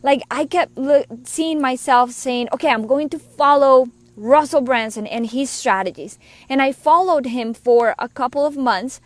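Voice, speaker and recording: A woman from Colombia with a Colombian accent speaks in a fairly high voice, earnest and chatty as she coaches. The sound is dry and close, with no room around it. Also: no echo. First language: Spanish. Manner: earnest, conversational, quick asides. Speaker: business coach. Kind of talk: personal story monologue.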